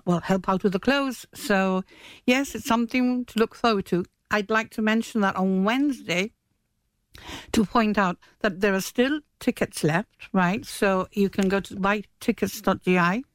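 A woman's voice: medium pitch, no room sound. A woman chatting as a guest in a radio interview. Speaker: radio guest, stage manager. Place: radio studio.

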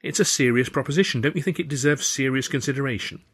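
A young woman in an audiobook story, voiced by a male narrator, speaks briskly and earnestly. She is chatty yet guarded, with a firm edge to her words. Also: medium pitch, slightly rough, voice pushed up, lightly acted.

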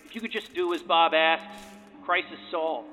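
An actor in an audio drama playing a bossy, exasperated assistant, insistent and impatient, with a nagging voice. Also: male voice, a little high, normal speed, sharp tone. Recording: reverberant hall, music behind.

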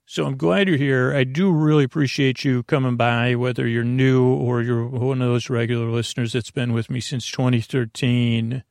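Male sleep-podcast host, gravelly and dry-miked, drifting through halting, digressive bedtime rambles. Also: medium pitch, little pitch movement, darkish tone, no echo. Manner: meandering, halting, digressive, self-interrupting. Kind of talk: rambling bedtime monologue to lull listeners to sleep.

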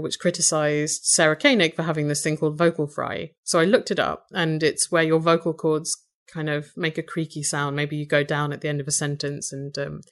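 A woman with an English accent and a low voice with some vocal fry, talking thoughtfully and wryly as a guest in a conversational interview. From Britain. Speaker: podcast host, interview guest.

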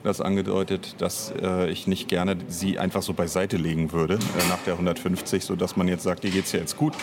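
A man's voice: sits low, level tone, a little fast, noisy background. A man speaking as an interview guest in casual conversation, searching for words as he explains at length. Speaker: interview guest, audio drama author and director.

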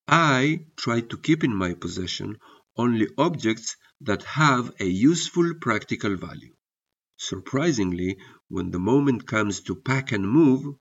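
A man whose first language is not English, hosting a podcast and narrating stories in a reflective, measured way, with hesitant fillers when he speaks off-script. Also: on the low side. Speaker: podcast host. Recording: muffled lo-fi recording.

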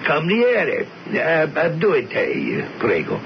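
An actor playing a celebrated author in a radio drama, wry and courtly, with a dry, hesitant delivery. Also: male voice, fairly high pitch, huge pitch swings, slow pace, gravelly, heavily acted. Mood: courteous, wry, hesitant. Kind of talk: 1970s radio drama acting with first-person narration. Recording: noisy, dull 1970s radio broadcast.

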